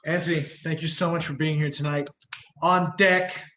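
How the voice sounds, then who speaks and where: A man's voice: a little high, fairly even intonation, normal speed, sharp tone, slight background noise. A man hosting an online poetry reading, effusive and enthusiastic as he introduces readers. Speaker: host. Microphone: video call. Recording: echoey video call audio.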